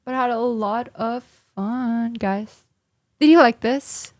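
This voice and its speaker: A woman's voice: fairly high pitch, slow, darkish tone, no room sound, clean studio sound. A young woman streamer chatting casually with her viewers, blunt and sarcastic. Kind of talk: casual livestream chat.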